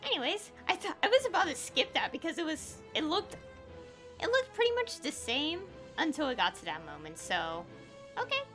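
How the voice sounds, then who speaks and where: A woman's voice: high voice, huge pitch swings, moderate pace, a bit bright, faint music behind. A woman giving casual, offhand game commentary, chatting informally to her viewers. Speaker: gaming video creator.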